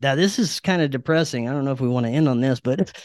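A man's voice: medium pitch, quick pace, darkish tone, no room sound, studio quality. A man from Louisiana talking casually as a guest on a podcast.

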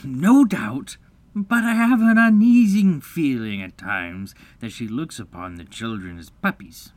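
A woman's voice: rumbling low, lively intonation, unhurried, a little mellow, no room sound, pitched below the performer's own voice. A woman voicing a blustering, pompous middle-aged husband and father, theatrical, stern and prone to sarcasm and self-pity. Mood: uneasy, brooding.

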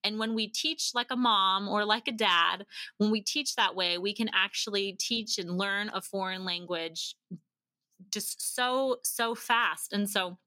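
A woman's voice: medium pitch, a little slow, no room sound. A woman guest telling stories in casual conversation, acting out quotes as she goes and often saying "like". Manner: animated retelling with acted-out quotes and frequent "like". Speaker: podcast guest.

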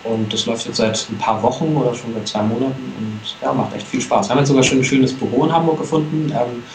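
A man, a guest telling about founding his company, relaxed and joking. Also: mid-range voice, fast talker. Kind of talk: casual chat. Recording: roomy with faint background noise.

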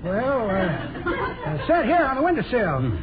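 A man's voice: extremely high pitch, wildly swooping pitch, measured pace, a crowd in the background, full character voice. An actor playing a quirky, folksy old man in a vintage radio comedy. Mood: folksy, cheerful. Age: older. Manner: folksy, calls younger people daughter and kids. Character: quirky, high-voiced old-timer in red suspenders. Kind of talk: 1950s radio comedy acting. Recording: muffled vintage radio, noisy live studio audience.

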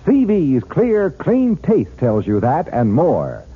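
Very animated male radio pitchman, tinny. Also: takes it slowly, breathy edge. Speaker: radio commercial announcer. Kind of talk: 1940s radio beer ad read. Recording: phone-like old radio broadcast.

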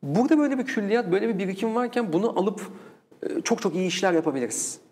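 Fast-talking man in an echoey hall. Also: high voice, expressive, a little mellow. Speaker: guest researcher of folklore and culture. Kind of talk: conversational interview explanation.

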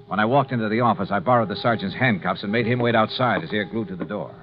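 An American actor playing a tough, shrewd insurance investigator in a 1950s radio drama, with clipped, hard-boiled delivery. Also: male voice, on the low side, fast, lightly acted. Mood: brooding, determined. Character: tough, shrewd male insurance investigator narrating his case. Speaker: lead actor, narrator. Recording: muffled 1950s radio recording with music.

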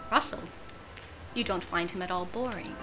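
A woman's voice: lowish voice, moderate pace, over music, close to an everyday voice, rough recording. A woman playing an arch, pointed middle-aged wife who coolly needles her friend in an audio drama. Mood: cold, accusing.

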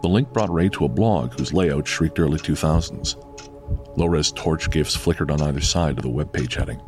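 A man's voice: a bass voice, moderate pace. A man reading scripted narration for a horror audio drama. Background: faint music.

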